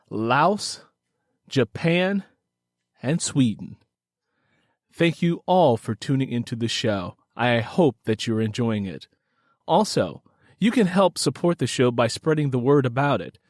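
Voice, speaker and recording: A man's voice: fairly high pitch, no room sound, clean studio sound. A man delivering a scripted announcement as a podcast host.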